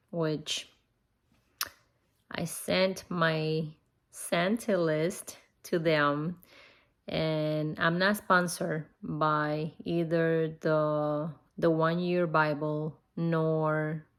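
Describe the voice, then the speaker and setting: A middle-aged woman speaks English with a foreign accent in a casual, reflective devotional style. Her voice sits a little low and has a lot of creak. She takes her time, talking very slowly and earnestly. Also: fairly bright tone. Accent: non-native English accent. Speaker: host of a Bible-reading vlog. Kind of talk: casual personal devotional talk to viewers.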